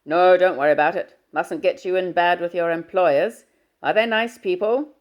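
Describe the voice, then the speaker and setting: A woman reading aloud and voicing a cheerful middle-aged father, with a jovial, brisk and booming manner. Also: close to an everyday voice. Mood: hearty.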